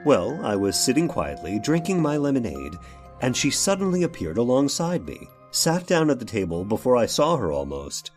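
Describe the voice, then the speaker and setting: A man's voice: mid-range voice, wildly swooping pitch, normal speed, faint music behind. A man playing a fussy, evasive, hesitant workshop owner recounting a crime in an old-time radio horror drama. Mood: fussy, polite.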